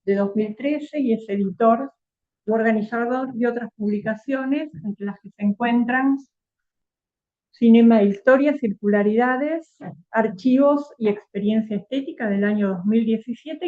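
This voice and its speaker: A woman's voice: on the high side, a little slow. A woman with a Rioplatense Spanish accent introducing a guest speaker, reading a bio with fillers and tag questions. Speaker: event host. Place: lecture hall. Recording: tinny phone-like recording.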